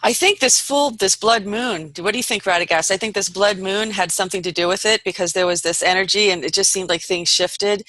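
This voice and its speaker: Woman talk show host, bright sharp voice, very dry sound, chatting off the cuff. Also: mid-range voice, fairly quick, few pauses, dry recording, low-quality recording. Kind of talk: unscripted discussion, putting questions to co-hosts.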